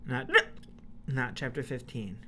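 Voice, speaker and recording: A woman's voice: very deep voice, unhurried, slight background noise. A young woman with hiccups recording audio drama narration, restarting lines and breaking into rambling, mildly exasperated asides. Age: young adult. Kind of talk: blooper outtakes, scripted narration with chatty asides.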